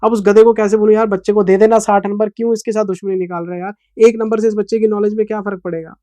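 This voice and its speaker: A man's voice: high-pitched, flat delivery, fast, dark tone, no echo. A man in his forties, an accounting teacher giving a revision lecture in a passionate, scolding then explanatory manner, with an Indian English accent. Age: 40s.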